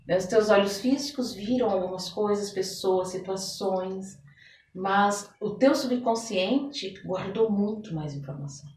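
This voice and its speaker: A woman's voice: medium pitch, warm tone. A Brazilian woman speaking as an interviewed guest, explaining things conversationally and repeating phrases for emphasis. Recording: roomy echo, distant microphone.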